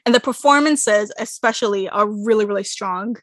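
A woman's voice: medium pitch, moderate pace, no room sound. A young woman from Israel chatting animatedly about a musical, laughing often. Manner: animated, laughs often, self-described loud. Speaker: co-host discussing musicals. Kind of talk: casual podcast chat.

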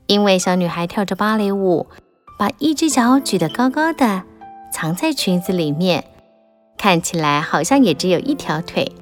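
Woman narrator, children's storybook, very lively pitch, gentle telling, soft music underneath. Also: normal speed. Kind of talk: scripted children's audiobook narration.

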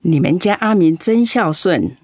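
A woman's voice: lowish voice, expressive, unhurried, a little mellow. An actress playing a chatty, frank and caring elderly neighbour woman in a radio drama. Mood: frank advice.

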